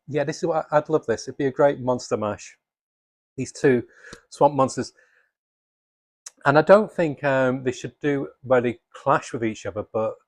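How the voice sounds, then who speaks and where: A man's voice: mid-range voice, no room sound. Casual, enthusiastic man, very dry recording. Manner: enthusiastic, rambling. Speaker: comics video presenter. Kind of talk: casual unscripted fan commentary.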